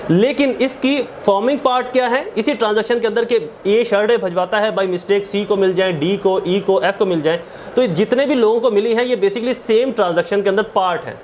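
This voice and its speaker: A high-voiced man with a Pakistani accent teaches law. He explains through worked examples, repeating himself and posing questions he then answers. English legal terms are mixed into his speech, and a faint background noise sits under the recording. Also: darkish tone. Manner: didactic, repeats points and asks rhetorical questions. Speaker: law lecturer.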